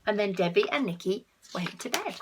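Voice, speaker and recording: A woman reading a picture book aloud to children, expressive, with asides to the listeners and hushed tones for effect. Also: mid-range voice, a little fast, a little hiss or hum. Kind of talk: animated read-aloud with asides to young listeners.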